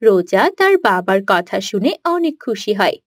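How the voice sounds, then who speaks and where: A woman's voice: mid-range voice, very animated, moderate pace, no room sound, studio quality. A woman giving scripted narration for a cartoon story.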